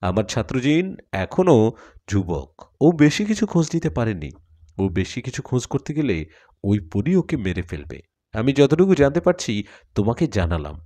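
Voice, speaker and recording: A man voicing a grave, fatherly cleric and spirit healer in a story, measured and solemn. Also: medium pitch, sing-song, warm tone. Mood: concerned.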